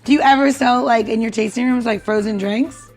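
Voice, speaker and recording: A woman's voice: a little high, steady tone, brisk, darkish tone. A woman co-hosting a podcast as its bartender, chatting casually in an informal, jokey way. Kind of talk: casual chat.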